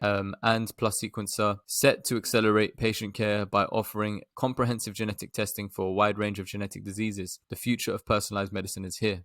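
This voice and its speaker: A man's voice: on the low side, a bit bright, no room sound, studio quality. A man hosting an online investing video, giving informal, explanatory commentary as he reads out research updates and adds asides. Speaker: stock investing video host.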